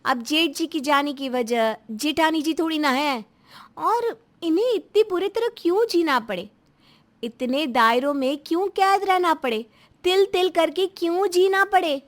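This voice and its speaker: A woman's voice: high voice, a little slow, few pauses, fairly bright tone. A woman voicing a caring, outspoken young daughter-in-law in a melodramatic audio story, earnest and pleading. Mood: indignant.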